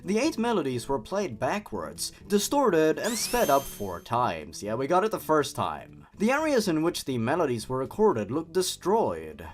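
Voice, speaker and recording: A man's voice: on the high side, wildly swooping pitch, unhurried, few pauses. A man hosting a dramatic reading of a horror story, dropping in sarcastic, exasperated comments of his own. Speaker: host. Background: music.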